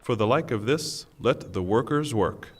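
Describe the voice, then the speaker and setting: A man reading a scripture translation in a solemn, measured and deliberate way. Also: mid-range voice, expressive. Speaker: translation reader. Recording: long hall reverb.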